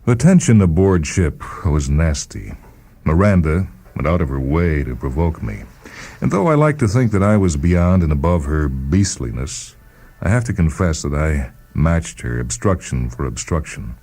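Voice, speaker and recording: A man reading a first-person short story as a measured, deliberate dramatic narrator. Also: very deep voice, lively intonation, dark tone.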